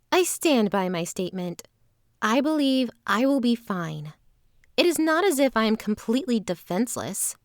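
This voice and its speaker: Woman playing a calm fantasy traveling performer lady, formal wording, slow but really expressive pitch, audio drama. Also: dry recording, studio quality. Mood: dry, assured. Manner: formal, composed phrasing. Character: calm, self-assured traveling female performer with hidden divinity.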